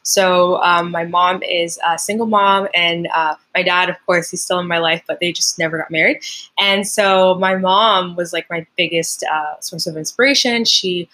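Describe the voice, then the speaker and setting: A woman's voice: lowish voice, fairly even intonation, normal speed, bright, forceful tone. A young woman from Canada, a native French speaker, talks in a chatty, upbeat way as a guest in an interview, using many fillers. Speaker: Olympic track athlete, guest. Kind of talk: casual interview storytelling.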